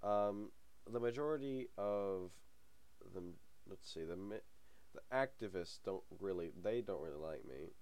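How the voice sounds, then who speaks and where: A man's voice: low-pitched, animated, measured pace, darkish tone. A young man hosting a gaming channel, talking casually over a game. He has a cold and the sniffles, and he rambles with many ums and small chuckles. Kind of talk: casual let's-play commentary.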